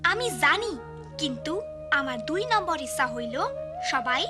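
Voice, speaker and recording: A woman's voice: high voice, animated, moderate pace, over music. A woman voicing an earnest, determined village girl in an educational cartoon, emphatic and instructive, with a Bangladeshi accent.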